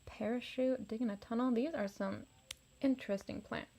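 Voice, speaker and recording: Woman storybook reader, higher voice, musing asides and questions for young listeners. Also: fairly even intonation, moderate pace, creaky, warm tone. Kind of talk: children's read-aloud with asides and questions.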